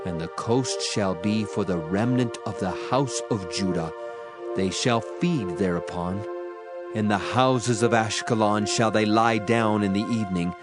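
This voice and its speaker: A man giving a solemn, dramatized audio Bible reading with declamatory emphasis. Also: fairly low pitch. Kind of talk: dramatized scripture reading in archaic English. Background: background music.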